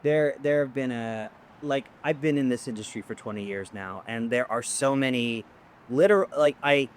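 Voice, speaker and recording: A man's voice: medium pitch, moderate pace, slight background noise. A middle-aged man hosting a casual conversation in a restaurant, speaking bluntly and with emphasis. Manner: emphatic, blunt, frequent backchannels. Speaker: host.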